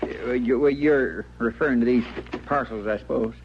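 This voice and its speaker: An actor playing a simple, kindly, easily flustered frontier deputy in a radio western, with a rural Western American accent and a hesitant, folksy, stammering way of talking. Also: male voice, mid-range voice, moderate pace, close to an everyday voice. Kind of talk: 1950s radio western acting. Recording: muffled, noisy old radio recording.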